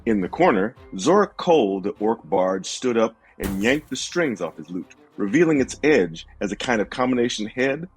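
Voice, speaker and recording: Male narrator for dramatic fantasy audio drama, low register, lively intonation, light music bed. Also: normal speed, hardly any pauses.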